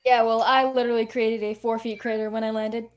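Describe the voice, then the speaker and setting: A woman voicing an excitable, friendly teenage schoolboy in an amateur table read. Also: fairly high pitch, fairly quick, faint music behind, close to an everyday voice. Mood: eager.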